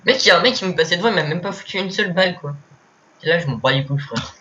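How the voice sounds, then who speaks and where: A man's voice: high-pitched, racing. Joking young man with a cold. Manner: joking, excitable, stumbling over words. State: has a cold. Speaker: gamer and channel host. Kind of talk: casual gaming chat. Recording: slightly muffled home mic with faint hiss.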